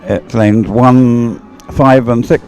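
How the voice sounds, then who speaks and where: A man's voice: mid-range voice, steady tone, takes it slowly, darkish tone. An older man giving live commentary on a boat regatta, chatty and hesitant, often drifting into rambling asides. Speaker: sports commentator, former umpire.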